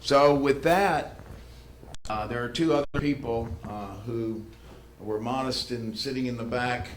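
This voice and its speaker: A man hosting a conference, speaking informally and haltingly to the room, often repeating words. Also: medium pitch. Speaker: event host. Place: good-sized meeting room. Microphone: livestream microphone, not amplified in room. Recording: roomy echo, faint background noise.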